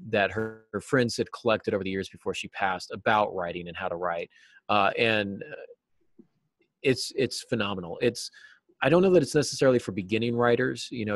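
A middle-aged American man giving informal, halting explanations as an interview guest on a video call. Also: lowish voice, no room sound. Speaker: interview guest, novelist and writing teacher. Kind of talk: casual interview answers. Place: small cluttered office, on a video call.

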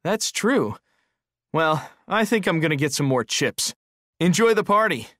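A man's voice: a little high, lively intonation, a little slow, a bit bright, no room sound, clean studio sound. An actor playing an ordinary man making small talk in a language-course dialogue. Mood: sociable.

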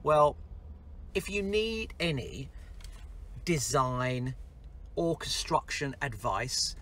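A man's voice: mid-range voice, expressive, extremely slow, a bit bright. A middle-aged man with an English accent chatting casually and in a rambling, informal way in a car vlog. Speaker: vlogger, design and construction business owner. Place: inside a car. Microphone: camera at about arm's length. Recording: clear vehicle noise inside a car.

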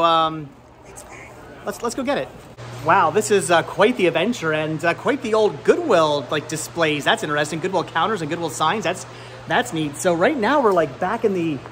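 A man in his thirties hosting a casual vlog, chatting enthusiastically and rambling with halting, repeated words. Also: high voice, a bit bright, a little hiss or hum. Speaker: vlog host. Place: large indoor flea market and warehouse loading area. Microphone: handheld camera at arm's length.

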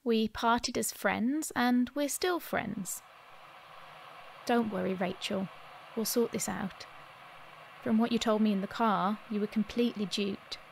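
A supportive, level-headed woman friend in an audio drama. Her voice is fairly high. She talks in a soothing, practical, encouraging way. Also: unhurried, vocal fry, a little hiss or hum. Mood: reassuring. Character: caring, steady, reassuring female friend and ex-partner.

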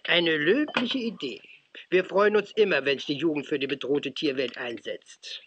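An actor playing a dignified African prince in a children's audio drama, speaking formally and in a stately manner. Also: male voice, fairly high pitch, normal speed, lightly acted. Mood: gracious. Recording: muffled, noisy old cassette audio.

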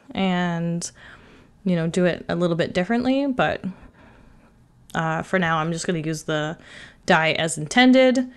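A woman's voice: on the low side, clear, resonant tone. A young woman hosting a card-making tutorial, narrating casually with plenty of fillers while her nose is stuffy from a cold. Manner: chatty, with many "like" and "um" fillers. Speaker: card-making channel host. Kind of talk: casual crafting tutorial voice-over.

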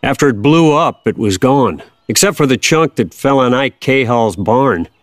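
A folksy older farmer played by a male actor reminisces as a patient, wry father in an audio drama. His talk is plain and homespun, recorded close and dry at a middling pitch. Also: lively intonation, normal speed, no echo, natural voice. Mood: reminiscing.

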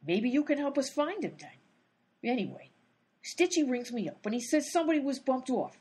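A brash lady detective of radio comedy. She has a highish, husky voice and throws out wisecracking gangster slang in big swoops of pitch. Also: big pitch swings, moderate pace, darkish tone, heavily acted. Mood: tough, irritated. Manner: wisecracking 1930s gangster talk, dropped grammar. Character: brash, hot-tempered woman gumshoe talking tough gangster slang. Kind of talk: old-time radio comedy acting.

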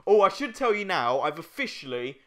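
A man's voice: sits high, big pitch swings, fairly quick. A young man in casual gaming banter as a co-host, given to playful, mock-boastful joking. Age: young adult. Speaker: let's play co-host.

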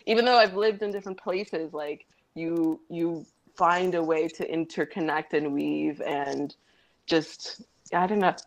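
A woman's voice: low voice, low-quality recording. A woman panelist telling stories in a chatty way with many fillers, over a video call from home. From Dominican heritage, lives in Montreal. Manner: warm storytelling, quick laughs, lots of fillers. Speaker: panelist, inclusion consultant.

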